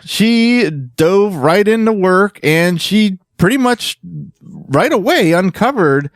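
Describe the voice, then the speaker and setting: A man's voice: sits high, lively intonation, slow, dry recording, clean studio sound. Casual man telling a story. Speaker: podcast host. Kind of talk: casual storytelling on a fan podcast.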